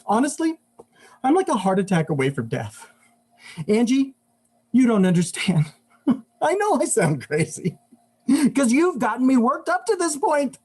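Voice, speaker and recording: A man's voice: extremely high pitch, wildly swooping pitch, a little slow, pauses often, a little mellow, slightly rough, full character voice. A man performing a live monologue as an exasperated, overheated husband, complaining in a whining, dramatic, agitated way. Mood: overwrought, complaining. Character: exasperated, overheated husband ranting about crowded shopping.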